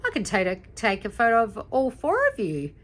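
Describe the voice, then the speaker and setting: A woman's voice: on the high side, huge pitch swings, normal speed, voice pushed up. A woman voicing a big, friendly male security guard in a children's storybook, hearty and cheery. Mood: helpful.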